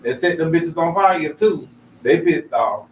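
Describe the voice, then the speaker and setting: A man's voice: on the high side, animated, a little slow, distant mic. A man from Florida co-hosting a talk show, chatting bluntly in casual slang with lots of swearing. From Jacksonville, Florida, USA. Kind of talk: casual profane chat. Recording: roomy, off-mic, noisy background.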